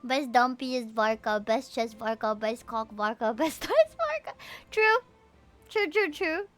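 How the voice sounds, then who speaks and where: Very high-voiced woman game streamer, bright. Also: big pitch swings, measured pace. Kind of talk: livestream chat reacting to a game. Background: music.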